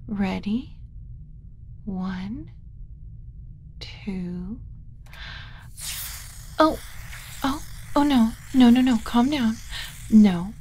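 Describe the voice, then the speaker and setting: A woman voicing a gentle, doting alien who coaxes a human like a small child, in a soft ASMR roleplay with frequent whispering. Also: medium pitch, slight background noise. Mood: gentle, coaxing, then alarmed, scolding. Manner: coaxing, slow, motherly, talking as if to a small child.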